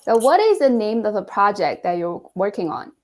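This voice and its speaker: A woman hosting a casual podcast interview. She asks quick icebreaker questions and laughs often. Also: medium pitch, big pitch swings. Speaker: podcast host.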